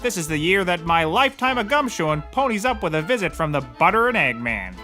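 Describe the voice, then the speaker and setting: Male actor as brash bumbling gumshoe: high, bright, swooping delivery, comic hard-boiled patter. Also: sing-song, a little fast, faint music behind, heavily acted. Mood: cocky. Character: brash, excitable bumbling private eye. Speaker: actor in scripted comedy series. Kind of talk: comedic mock-noir detective slang acting.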